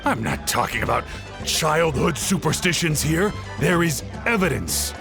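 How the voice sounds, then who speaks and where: A man's voice: fairly high pitch, animated, unhurried. An actor playing an earnest young male scholar who eagerly explains his theories. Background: game music.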